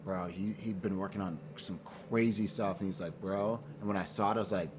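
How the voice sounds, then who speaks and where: A man's voice: sits low, fairly even intonation, fast. A man from Boston and Nigeria talking casually as an interview guest, earnest and slangy, often repeating phrases for emphasis. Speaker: fashion brand founder, interview guest. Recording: clear background noise, room ambience.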